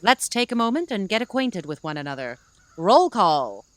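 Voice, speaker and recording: A woman's voice: medium pitch, very animated, normal speed, very noisy, natural voice. A woman playing a wry, organizing co-leader of a rescue squad in a comedic audio drama, with a dry manner. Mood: brisk.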